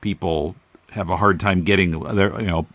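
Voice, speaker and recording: A man hosting a podcast, chatting casually and reflectively about comics in a halting, tentative way. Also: sits low, fairly even intonation, fairly bright tone, dry recording. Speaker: podcast host. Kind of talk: casual comic book discussion.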